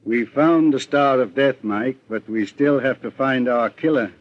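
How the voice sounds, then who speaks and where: An actor with an American accent playing a shrewd, calm, authoritative middle-aged private detective in an old-time radio drama, speaking deliberately. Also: male voice, medium pitch, natural voice. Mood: measured, assured. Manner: measured, deliberate, composed. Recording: muffled vintage American radio recording.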